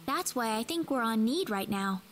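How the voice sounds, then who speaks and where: Woman acting a sweet earnest young game heroine, high voice, soft and hopeful. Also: animated, normal speed, soft background music, lightly acted. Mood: calm, wistful. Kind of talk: English video game dub acting.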